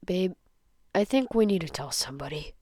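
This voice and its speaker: A woman's voice: on the low side, expressive, normal speed, dark tone. A woman voicing a concerned, protective teenage boy in a story, talking in a firm, casual, reassuring way. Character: steady, protective, concerned teenage boy, blunt but tender. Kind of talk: dramatised fan fiction reading.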